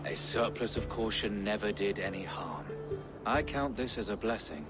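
A man playing a courteous, pious medieval bishop in a video game, speaking in a formal, measured manner. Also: on the low side, natural voice. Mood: gracious. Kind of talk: video game dialogue acting. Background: music.

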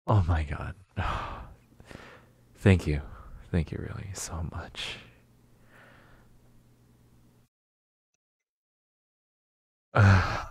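A man acting a shy, polite, flustered neighbor in a roleplay ASMR, hesitant, apologetic and breathy. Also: sits low, no echo, heavily acted. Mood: relieved, grateful. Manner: hesitant, soft-spoken, apologetic, breathy sighs and whispers.

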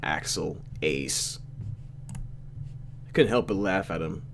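A man's voice: deep voice, animated, slow, gravelly, a little hiss or hum. A man reading a game aloud as a host, with casual narration and slangy, mock-exasperated asides. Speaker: let's play host reading a visual novel aloud. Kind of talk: casual gameplay commentary and read-aloud narration.

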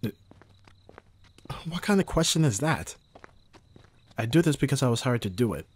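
A man playing a gruff, protective young bodyguard in an ASMR roleplay, with a husky voice and a sardonic, intimate delivery. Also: medium pitch, big pitch swings, moderate pace, a little mellow. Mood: firm, guarded. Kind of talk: ASMR roleplay audio drama.

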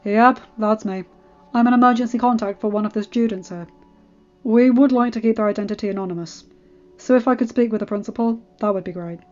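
A woman's voice: fairly high pitch, fairly quick, darkish tone, highs cut off, natural voice. A woman voicing a cool, casual, reassuring man, a superhero mentor, in an audiobook story with an offhand confidence. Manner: laid-back, offhand, confident. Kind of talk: fan fiction audiobook character voice. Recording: band-limited audio with background music.

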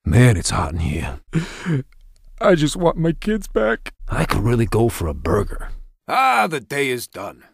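A man's voice: medium pitch, wildly swooping pitch, measured pace, vocal fry, slightly rough, no room sound, studio quality, full character voice. A man voicing an aquarium shark mascot in a video game, a cheesy showman with a lonely, weary side, in an exaggerated theatrical delivery. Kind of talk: video game character voice acting.

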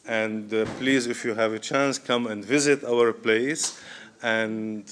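A man in his thirties with a Syrian Arabic accent, giving an informal talk to an audience in halting English full of pauses and fillers, recorded by a distant camera in an event room. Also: medium pitch, fairly even intonation, fairly bright tone, slight background noise. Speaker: guest speaker, restaurant owner. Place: medium indoor event room with diners. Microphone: far camera, no mic.

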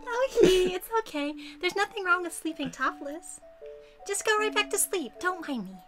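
A woman's voice: extremely high pitch, animated, moderate pace. A woman voicing a cute, pushy teenage girlfriend in a visual novel, sweet and insistent, with scheming undertones. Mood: sweetly pushy. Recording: music playing behind.

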